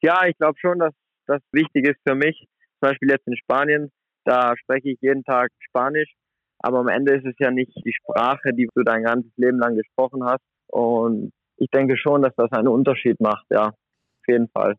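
Young male athlete guest, casual and chatty, mid pitch, heard over a thin phone line. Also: normal speed, no echo. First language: German. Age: 20s. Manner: relaxed, chatty, many fillers like "sag ich mal". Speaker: guest handball player. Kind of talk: casual interview.

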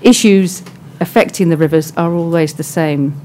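Soft-spoken woman presenting. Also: on the low side, slight background noise. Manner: soft-spoken, measured, with frequent ums. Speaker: conference speaker. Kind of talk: prepared conference presentation. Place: conference hall.

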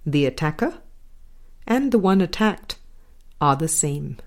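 A woman reading a scripted spiritual dialogue aloud in a measured, deliberate way, pausing between short phrases. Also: deep voice, very animated. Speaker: audiobook reader.

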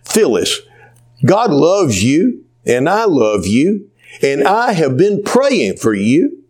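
A man voicing a cheerful, devout elderly woman, folksy and gently scolding. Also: on the high side, wildly swooping pitch, takes it slowly, husky, voice pushed up, full character voice. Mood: tender.

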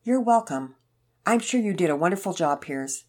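A woman voicing a sweet, frail elderly man in a story, with a gentle, folksy, rambling manner. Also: lowish voice, expressive, moderate pace. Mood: tender, resigned. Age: older. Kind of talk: acted character dialogue in children's story narration.

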